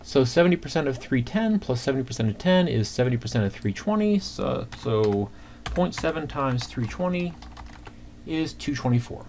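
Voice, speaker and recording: A man giving an informal screencast accounting lesson, talking through calculations step by step in a conversational, halting way. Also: mid-range voice, very animated, a little mellow. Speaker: accounting instructor. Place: indoors at a computer. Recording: clear background noise, keyboard typing.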